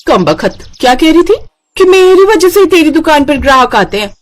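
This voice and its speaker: A scheming young stepsister from a kids' cartoon played by a woman. Her voice sits high, and she talks like a boastful street vendor with a spiteful, sulky edge. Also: big pitch swings, brisk. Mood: gloating, spiteful. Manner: loud, boastful vendor calls and sulky grumbling. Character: scheming jealous young stepsister running a juice cart. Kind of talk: children's cartoon story acting.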